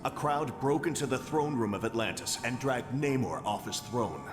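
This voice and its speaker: Male actor as resolute game team leader, medium pitch, firm, earnest, long reverb, loud music. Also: moderate pace, natural voice. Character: earnest, upright male superhero team captain. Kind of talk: video game hero acting.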